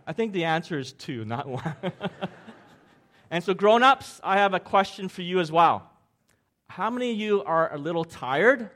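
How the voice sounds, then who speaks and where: A middle-aged pastor from Hong Kong, preaching in a light, jokey way. His voice sits a bit high for a man, and he keeps asking the room questions before each point. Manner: jokey, upbeat, asks the crowd questions. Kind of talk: sermon with audience interaction.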